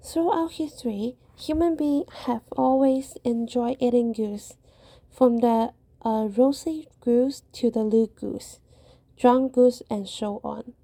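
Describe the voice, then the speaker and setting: Slow, soft-spoken Cantonese-accented woman host. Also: on the high side, no room sound. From Guangdong, China. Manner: careful, unhurried reading with occasional hesitations. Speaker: podcast host. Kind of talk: scripted podcast monologue.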